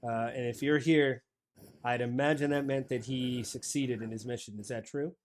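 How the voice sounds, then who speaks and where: A man playing a calm, wry male commanding officer who talks in a measured, low-key way. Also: a little hiss or hum, lightly acted.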